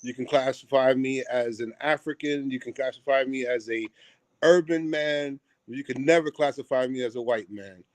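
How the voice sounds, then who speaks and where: A man's voice: medium pitch, fairly even intonation, moderate pace, no room sound. A middle-aged man from Puerto Rico, raised in New York, with a New York accent, talking earnestly over a remote call as a theater owner and chef, full of ums and restarts. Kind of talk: casual interview chat. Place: theater seat on a live call.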